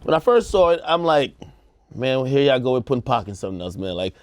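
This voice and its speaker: A man's voice: mid-range voice, big pitch swings, brisk, darkish tone, dry recording, studio quality. A man with an American accent giving casual opinions as a talk show co-host, slangy and acting out quotes. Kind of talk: casual opinionated panel chat.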